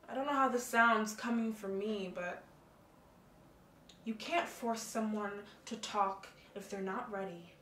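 An actress playing a blunt, profane but caring young college friend. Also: female voice, fairly high pitch, a bit bright, reverberant, slight background noise. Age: young adult.

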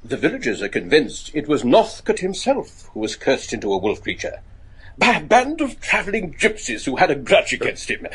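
Scholar, gothic radio horror: learned, excitable, theatrical, husky, extremely wide pitch swings. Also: male voice, normal speed, full character voice. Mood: ominous, informative. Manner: theatrical, scholarly, hesitant stammers. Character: learned, excitable English professor obsessed with werewolf lore.

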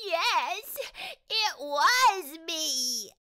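A woman's voice: very high-pitched, very animated, extremely slow, sharp tone, pitched above the performer's own voice, exaggerated voice. A woman voicing a mischievous, excuse-making little boy with a childlike voice in a storybook read-aloud. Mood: sheepish excuses.

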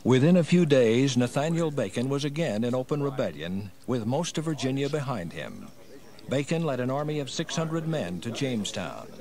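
A man's voice: mid-range voice, sing-song, normal speed, a little mellow. A man narrating a scripted historical drama as its storyteller. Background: music.

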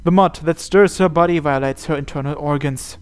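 A man's voice: a little high, brisk, noisy background. A man narrating a visual novel aloud as host, reading the scripted text.

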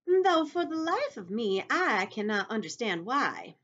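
A woman's voice: fairly high pitch, very animated, a little slow, fairly bright tone, lo-fi sound, pitched above the performer's own voice, full character voice. A woman voicing an outspoken, kindly female ladybug with emphatic delivery, for a storybook. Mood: wry.

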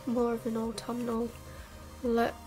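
Croaky young woman, slow and flat. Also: a little high, soft background music, poor audio. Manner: chatty, self-mocking, rambling with fillers. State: croaky, stuffed up with a cold. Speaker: beauty vlogger. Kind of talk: casual makeup tutorial chat. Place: bedroom, indoors. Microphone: camera mic at arm's length.

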